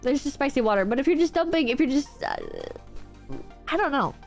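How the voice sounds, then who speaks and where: A woman streamer with a nasal, stuffy-nosed voice and a sore throat from a cold, chatting excitably and yelling and swearing in bursts. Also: high voice, fairly quick, soft background music. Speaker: streamer reading out online reviews. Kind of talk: casual livestream chat.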